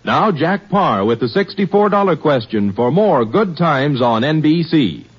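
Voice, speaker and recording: A man announces vintage radio promos in a somewhat high, slightly rough voice. The old broadcast recording is dull and narrow. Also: very animated, unhurried.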